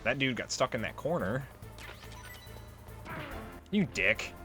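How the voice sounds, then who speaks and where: A man's voice: medium pitch, very animated, slow pace. A man giving casual let's play commentary on a video game, wry and freely swearing. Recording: voice over game music. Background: game music.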